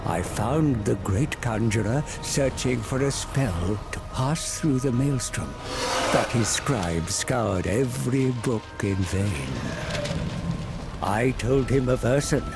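Grave, slow-speaking old wizard. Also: male voice, mid-range voice. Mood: grave, conspiratorial. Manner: grave, dramatic, deliberate. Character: cunning old male wizard, grave and persuasive. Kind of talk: video game cinematic narration and dialogue. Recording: loud music under the voice.

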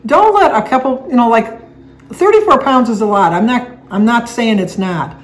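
A woman's voice: fairly high pitch, lively intonation, normal speed. Chatty older woman vlogging from home. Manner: chatty, rambling with restarts and fillers. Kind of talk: casual chat. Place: living room at home, indoors. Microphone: camera on a table, seated facing it.

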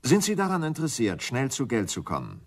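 An actor playing a polite, suave, insinuating schemer with a shady offer in a German radio crime drama. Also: male voice, medium pitch, wildly swooping pitch, brisk. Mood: suave, persuasive. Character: smooth, furtive scheming gentleman with a shady offer.

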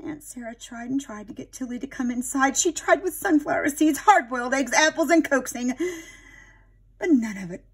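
A woman reading a picture book aloud to young children in an expressive teacher's manner. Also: sits high, moderate pace, slightly rough. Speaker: storybook reader, teacher.

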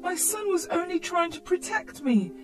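A woman's voice: very high voice, animated, normal speed, soft background music, pitched above the performer's own voice. A woman voicing a defiant, exasperated mother in a dramatised story. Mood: outraged. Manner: exasperated, emphatic. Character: indignant, defiant young mother standing her ground.

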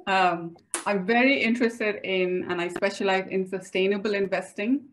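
Indian-accented woman, medium-pitched voice. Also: fairly even intonation, normal speed, slight background noise, rough recording. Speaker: financial advisor, webinar co-presenter. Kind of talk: informal spoken self-introduction to a group. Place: home room with bookshelves, indoors. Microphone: video call.

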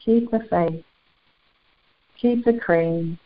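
Slow, phone-muffled woman guiding a meditation. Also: fairly low pitch. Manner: slow, deliberate, short phrases with long pauses; solemn, formal, ceremonial wording. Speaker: spiritual channeler and meditation guide. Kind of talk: guided meditation, channeled spiritual message. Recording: muffled, phone-like narrow band.

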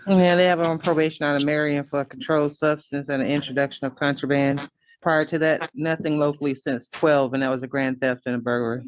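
A man's voice: a little high, level tone, fairly quick. A man working as a court deputy, giving procedural instructions and reading out records. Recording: slightly noisy court video link.

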